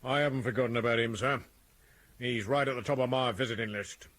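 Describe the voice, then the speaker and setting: A man's voice: medium pitch, steady tone, a little fast, fairly bright tone, natural voice. A man playing a tired, curt Victorian police inspector in a radio drama, with a British accent. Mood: businesslike. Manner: dry, curt. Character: weary, gruff Victorian male police inspector.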